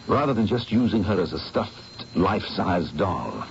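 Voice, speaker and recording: An actor playing a brooding, tense painter who talks curtly in an old radio drama. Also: male voice, low-pitched, sing-song, normal speed, slight background noise. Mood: earnest. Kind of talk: 1970s radio drama acting. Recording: dull lo-fi old radio recording.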